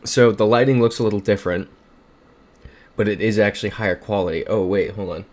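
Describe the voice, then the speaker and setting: A young man hosts a casual livestream from his room, upbeat as he thinks aloud and reads the chat. His voice sits on the low side, captured on a desk mic. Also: a little fast, a little mellow. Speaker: streamer.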